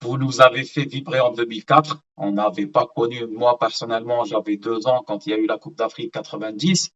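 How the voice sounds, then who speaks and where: Male host in his twenties, mid-pitched, gracious and casual, lo-fi audio. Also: fairly even intonation, brisk. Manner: warm, grateful, informal. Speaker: interviewer, host. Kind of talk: casual interview.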